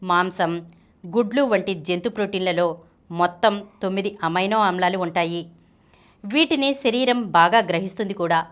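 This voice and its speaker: A woman's voice: medium pitch, moderate pace. A woman presents a health explainer, reading scripted narration aloud. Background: music.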